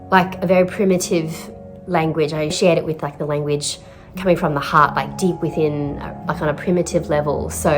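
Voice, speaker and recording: A woman talks in a low, deep register. She is a therapist speaking slowly and thoughtfully, with pauses and fillers. Her voice-over sits over clear background music. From Australia. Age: 30s. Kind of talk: reflective vlog voice-over.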